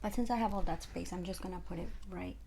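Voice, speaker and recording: A woman's voice: fairly low pitch, quick pace, warm tone, slight background noise. A young woman from Ecuador with a Spanish accent, chatting casually through a makeup tutorial at home with lots of fillers. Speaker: makeup artist.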